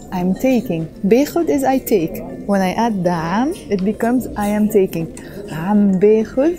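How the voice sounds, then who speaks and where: A woman in her thirties giving a vlog language lesson, talking softly and a little shyly, first in a train station and then on a busy street, into an earphone mic. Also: medium pitch. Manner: soft-spoken and shy, then louder and brighter outdoors. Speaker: language teacher. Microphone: earphone headset mic.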